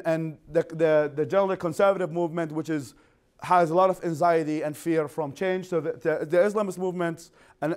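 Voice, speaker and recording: A man in his thirties from Iraq, an English speaker, giving an animated university lecture with frequent restarts, recorded in a classroom from a camera at some distance. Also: a little high. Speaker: guest speaker.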